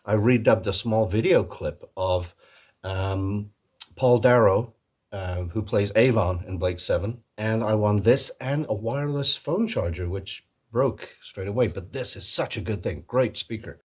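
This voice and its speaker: A man's voice: lowish voice, unhurried, slightly rough. A man chatting casually as a video host in a put-on American accent, rambling and joking at his own expense. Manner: rambling, jokey, self-deprecating. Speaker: host of a Doctor Who fan video channel. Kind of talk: casual comic vlog chat.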